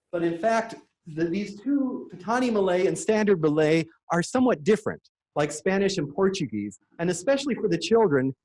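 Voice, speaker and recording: High-voiced man giving an eager talk. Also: darkish tone, rough recording. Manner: enthusiastic, lightly joking. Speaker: presenter. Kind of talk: conference presentation with slides. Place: conference room.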